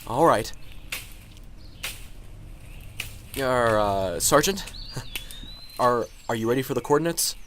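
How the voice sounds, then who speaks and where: A man's voice: mid-range voice, animated, measured pace, fairly bright tone, a little hiss or hum. A man playing a nervous, unsure professor in an amateur radio drama, polite and hesitant.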